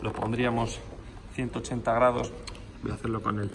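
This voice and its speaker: A man's voice: mid-range voice, little pitch movement, fairly quick. A man walking around a motorcycle in an indoor workshop, explaining it to the camera filmed on a handheld phone. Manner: explanatory, shifts to raised voice over a running engine. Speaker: motorcycle workshop owner showing a bike for sale. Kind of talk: hands-on walkaround video. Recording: noisy room with engine sound.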